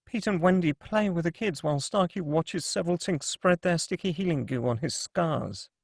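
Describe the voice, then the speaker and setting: Gravelly male narrator, higher-pitched voice. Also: moderate pace, dry recording, clean studio sound. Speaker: narrator reading stage directions. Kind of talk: dramatic scripted narration at a script table read.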